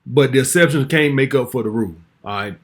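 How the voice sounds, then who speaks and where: A man's voice: medium pitch, fast talker. A man in his forties hosting a casual commentary vlog, talking bluntly and brashly and swearing freely. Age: 40s.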